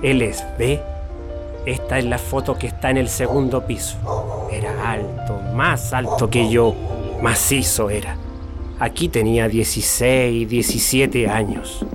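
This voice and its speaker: An actor playing a courteous middle-aged Chilean father, grieving and reflective, speaking haltingly in a radio drama. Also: mid-range voice, steady tone, close to an everyday voice. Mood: courteous, subdued. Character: grieving, courteous Chilean father recalling his lost son. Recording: music bed under the voice.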